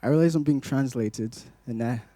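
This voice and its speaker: A man in his twenties from Glasgow, born in Malawi, speaking to a congregation as a guest giving testimony, in a measured, poetic way. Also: medium pitch, dark tone, no room sound. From Glasgow, Scotland, born in Malawi. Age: 20s. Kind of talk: rhythmic spoken-word poetry in places.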